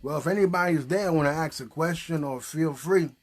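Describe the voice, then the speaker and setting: Higher-pitched man hosting casually. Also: brisk, breathy edge. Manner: encouraging, prompting with questions. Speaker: puppet show host. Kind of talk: casual, unscripted chat.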